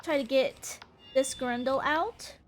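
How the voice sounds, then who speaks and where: High-voiced stuffy-nosed woman streamer. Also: slow, a little hiss or hum. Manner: chatty, giggly, rambling. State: congested, stuffy nose. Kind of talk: casual gameplay chat.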